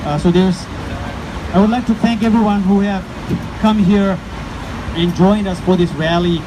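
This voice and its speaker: Hesitant high-pitched man opening a rally. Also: steady tone, moderate pace. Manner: hesitant, many fillers. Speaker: rally organizer. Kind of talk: rally speech. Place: outdoor street protest. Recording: traffic noise outdoors.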